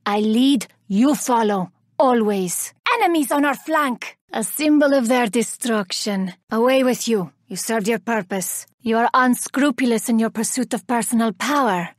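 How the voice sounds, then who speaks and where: An actress playing a vengeful, haughty elven woman warrior in a video game, speaking with deliberate, theatrical menace. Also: a little high, dry recording, studio quality. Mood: haughty scorn, then urgent battle cry. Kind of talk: video game character voice lines.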